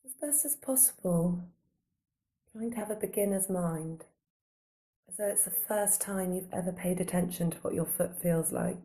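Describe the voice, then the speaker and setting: A woman guiding a body scan meditation in a calm, gentle, unhurried manner, giving slow soothing instructions with long pauses. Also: fairly low pitch. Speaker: meditation guide.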